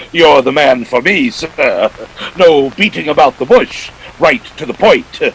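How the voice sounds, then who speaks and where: A man's voice: on the high side, animated, takes it slowly, a bit bright, slightly rough, full character voice, poor audio. A man acting a wordy, jovial but dangerous fat crime boss in a 1940s detective radio play. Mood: jovial, expansive. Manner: pompous, orotund, savoring his words.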